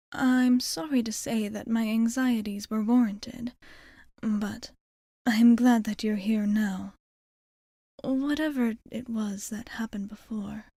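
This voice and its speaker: Woman acting a doting female vampire bartender, ASMR roleplay, fairly high soft voice, close dry sound, formal and genteel, partly whispered. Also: dry recording. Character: courtly, doting adult female vampire bartender, long-lived.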